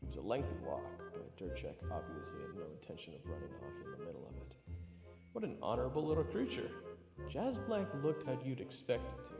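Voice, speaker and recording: A man's voice: low voice, very animated, brisk. A man playing a brash, wisecracking adventurer who narrates the tale and banters, in an echoing recording with music. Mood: wry narration. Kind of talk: first-person story narration and banter. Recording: roomy echo, long reverb, loud music.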